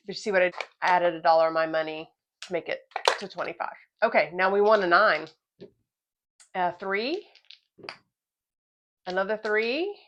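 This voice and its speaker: A woman's voice: fairly low pitch, slight background noise. Woman calling craps rolls, muffled audio. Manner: casual, explanatory, calls each dice roll. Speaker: craps channel host. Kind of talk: play-by-play commentary while testing a betting strategy.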